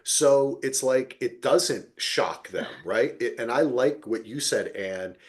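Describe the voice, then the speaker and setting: Male podcast host, mid-pitched, highly animated. Emphatic, sweary, conversational, prone to acting out reactions. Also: takes it slowly. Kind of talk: casual TV recap chat.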